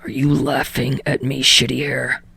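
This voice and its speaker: A woman voicing a gruff, foul-mouthed, angry young man in a low rough growl, seething through clenched teeth in a story reading. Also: level tone, moderate pace, pushed and bright, no echo, full character voice. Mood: seething anger.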